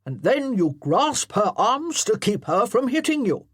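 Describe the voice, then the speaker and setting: A narrator voicing an older retired colonel who barks orders at amateur actors in a loud parade-ground manner. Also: mid-range voice, sing-song, measured pace, slightly rough, no echo, studio quality, voice pushed up. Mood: commanding. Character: commanding older male colonel, drill-sergeant bark.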